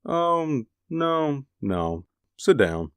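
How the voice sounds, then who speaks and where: A man's voice: on the high side, sing-song, extremely slow, a bit bright, smooth, no echo, full character voice. Guy doing a plain-spoken building super in a radio play, kinda hesitant, voice moves around a lot, dry recording. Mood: puzzled, businesslike. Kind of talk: radio drama acting.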